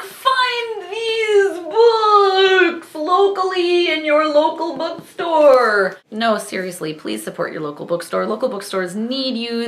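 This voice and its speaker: A woman's voice: on the high side, fairly bright tone, echoey room. A woman hosting a vlog of book reviews, talking and reading aloud in an exuberant, theatrical way. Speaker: book-review vlogger and host.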